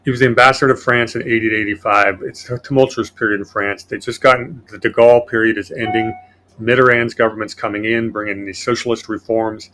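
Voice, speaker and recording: A man's voice: fairly low pitch, fairly even intonation, fast talker, sharp tone, slight background noise, poor audio. A man hosting a casual political podcast, reading from his notes in a sardonic way. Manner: sardonic, reads notes aloud. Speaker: podcast host.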